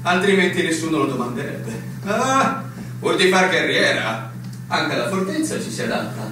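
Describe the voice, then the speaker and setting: A man in a stage-like radio drama, playing an older, formal army captain with a declamatory, halting delivery. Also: a little high, sing-song. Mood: lecturing. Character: older army captain, long-serving, formal, brusque, musing. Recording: echoey room, distant mic, clear noise.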